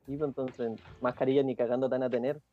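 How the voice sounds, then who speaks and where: A man's voice: medium pitch, flat delivery, fast, highs cut off, faint music behind. A man with a Chilean accent explaining things in a casual panel discussion. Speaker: podcast panelist.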